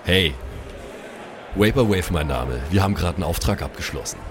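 A man's voice: deep voice, animated, normal speed, background noise. Slangy man improvising in a tabletop game. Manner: slangy, with English loanwords. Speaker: player in an improvised pen-and-paper audio play. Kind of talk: casual, improvised in-game role-play chat.

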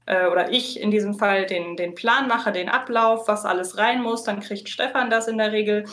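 A woman's voice: mid-range voice, fairly even intonation, normal speed, a bit bright. A woman in a casual interview, explaining things at length in long, detailed sentences as a podcast co-host. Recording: roomy, echoey sound.

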